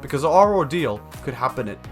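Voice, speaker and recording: Young man narrating with lively pitch. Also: brisk, soft background music. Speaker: video essayist. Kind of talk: scripted video essay narration.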